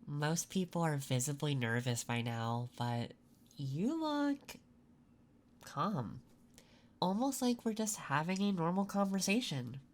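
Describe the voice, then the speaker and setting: Slow, low-voiced woman in soft ASMR roleplay. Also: big pitch swings. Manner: soft-spoken, unhurried, gently flirtatious, pauses between phrases. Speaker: ASMR roleplay performer playing a job interviewer. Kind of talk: ASMR roleplay, quiet intimate interview. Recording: clear background noise. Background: computer keyboard typing and clicks.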